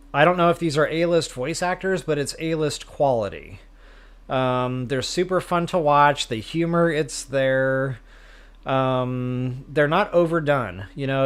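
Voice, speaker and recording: A man hosting a game livestream, chatting casually with viewers in a self-deprecating way. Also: fairly high pitch. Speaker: live stream host, gamer.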